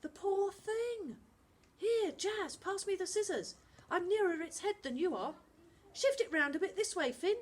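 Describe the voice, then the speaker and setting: A woman voicing a bossy young boy who skippers a dinghy, speaking high and loud. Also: slight background noise.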